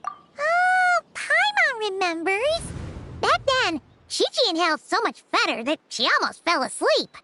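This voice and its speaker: Squeaky, tiny fairy companion. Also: female voice, big pitch swings, unhurried, bright, forceful tone, dry recording, heavily acted. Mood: curious, surprised. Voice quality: squeaky, childlike. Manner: refers to self in third person. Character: tiny childlike floating fairy companion, excitable, chatty. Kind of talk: video game voice acting.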